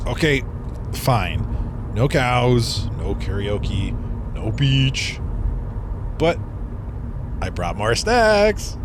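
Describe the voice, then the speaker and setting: A man's voice: mid-range voice, big pitch swings, very slow, pauses often. A man playing a playful, childlike goofball on a road trip in a comedic audio drama, enthusiastic and teasing. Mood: pleading, teasing. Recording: car and road noise behind.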